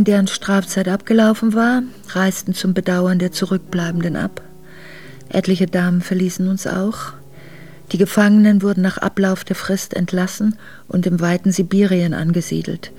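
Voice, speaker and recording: An actress playing an exiled Russian princess, an ageing mother recalling hard years tenderly in a German radio drama, measured and reflective. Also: lowish voice, warm tone, natural voice. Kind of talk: German radio drama monologue, memoir narration.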